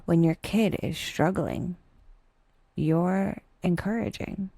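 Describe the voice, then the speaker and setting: A woman narrating a scripted guided meditation with wry, dry humor and a soft, breathy delivery, leaving unhurried pauses. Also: lowish voice, no room sound.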